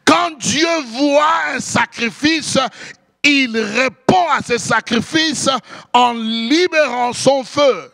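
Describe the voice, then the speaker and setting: High, gravelly preaching man. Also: slow. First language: English. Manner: impassioned preaching, swings between shouting and sing-song cadences. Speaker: pastor. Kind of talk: sermon.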